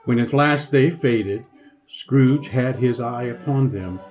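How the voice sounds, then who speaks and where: A man narrating a scripted dramatic story in a measured storytelling manner. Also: medium pitch, darkish tone, muffled recording, lightly acted. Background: music.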